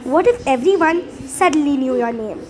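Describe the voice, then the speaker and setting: Female read-aloud host, high voice, children's storybook narration with slight stumbles, faint noise behind. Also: animated, moderate pace.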